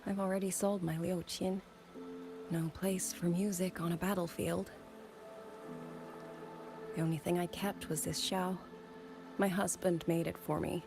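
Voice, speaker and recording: An actress playing a grieving former musician turned soldier in a video game, speaking softly and measuredly with wistful resolve, over background music. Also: female voice, fairly low pitch, level tone, measured pace, long pauses. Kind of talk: dramatic video game voice acting.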